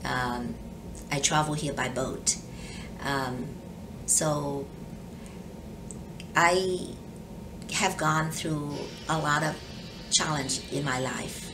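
An older woman with a Vietnamese accent talks slowly in a low voice, pausing often with soft fillers. She sounds a little distant from the mic, with steady background noise in the room. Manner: careful, hesitant, many ums. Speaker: judge. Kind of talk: oral history interview. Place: office with leather chair.

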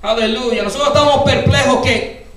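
High-voiced older preacher in an echoey church. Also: male voice, normal speed. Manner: fervent preaching, often shouting, peppered with hallelujahs; gestures broadly while preaching at a lectern. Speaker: pastor. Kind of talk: sermon. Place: church sanctuary, indoors, medium-sized. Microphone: handheld mic, filmed by a far camera. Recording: very echoey church hall, distant camera.

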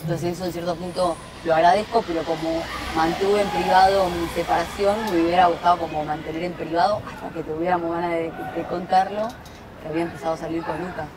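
A woman's voice: on the low side, steady tone, fast talker. Young Argentine woman, lapel mic, crowd noise. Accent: Argentine Spanish. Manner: chatty, many fillers and restarts, slangy. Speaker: guest. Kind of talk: casual chat. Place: outdoor terrace, seated at a table.

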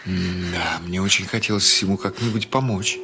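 A man playing a kind, concerned young man, narrating a children's story in an expressive, conversational way. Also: sits low, normal speed, soft background music. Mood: thoughtful, concerned. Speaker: narrator and lead actor.

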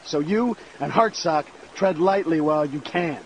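A man playing a gruff American army sergeant, giving brisk, authoritative orders. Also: on the high side, slight background noise, lightly acted, poor audio. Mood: commanding, urgent. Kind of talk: military orders in game dialogue.

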